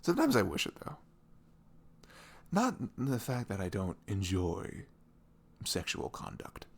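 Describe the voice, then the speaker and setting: A man plays a sweet young boyfriend in an intimate ASMR roleplay. His low voice is velvety but husky, sinking into creaky fry. He rambles informally and playfully, with pitch that moves around freely. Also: wildly swooping pitch, measured pace, full character voice. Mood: open, musing. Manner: chuckling, informal, loosely rambling.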